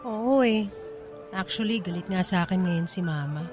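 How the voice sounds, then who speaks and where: A woman's voice: fairly low pitch, expressive, normal speed, music in the background, lightly acted. A woman playing a troubled, defiant, pregnant young woman with an emotional, pleading manner in a Tagalog radio drama, with a Filipino accent. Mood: downcast. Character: troubled pregnant nineteen-year-old woman, defiant and wounded.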